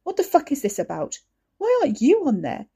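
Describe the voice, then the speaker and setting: A woman reading an audiobook, voicing a protective young man who shouts angry accusations. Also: medium pitch, big pitch swings, normal speed, husky. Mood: furious.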